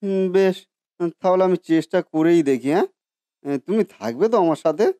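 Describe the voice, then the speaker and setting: A man's voice: high voice, animated, fairly quick, mellow, dry recording, clean studio sound. A man voicing a good-natured, modest food seller who talks earnestly and hesitantly in a cartoon story. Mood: worried, hesitant. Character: humble, good-natured biryani seller and husband. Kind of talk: Bengali cartoon story voice acting.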